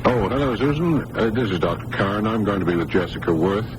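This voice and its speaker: A man playing a calm, careful physician in a 1980s radio drama, speaking in a measured, hesitant way. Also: lowish voice, close to an everyday voice. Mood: patient, businesslike. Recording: noisy old radio broadcast recording.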